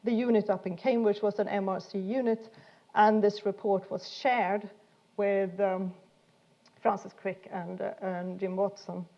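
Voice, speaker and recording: A woman's voice: mid-range voice, level tone, measured pace, dark tone, muffled recording. A woman giving an informal science lecture in an explanatory, hesitant way, often repeating words as she goes. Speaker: speaker giving a talk.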